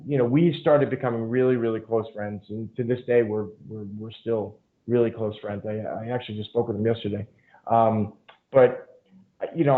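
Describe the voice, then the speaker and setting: Man, podcast guest, casual storytelling, filler-heavy, swears, thin phone-like audio. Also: fairly low pitch. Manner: rambling, frequent fillers and profanity. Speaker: podcast guest, jiu-jitsu instructor. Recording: phone-like narrow band.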